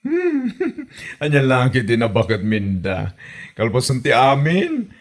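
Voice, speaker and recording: A man playing a teasing, gossipy friend in a comedy audio drama, with an Ilocano accent and a drawn-out, theatrical manner. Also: mid-range voice, wildly swooping pitch, heavily acted. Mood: teasing, amused.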